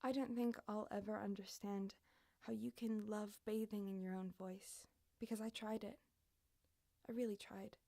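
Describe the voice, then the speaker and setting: A woman's voice: mid-range voice, little pitch movement, moderate pace, creaky, dark tone. A woman reading aloud in a spoken-word poetry recital. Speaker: poetry reader.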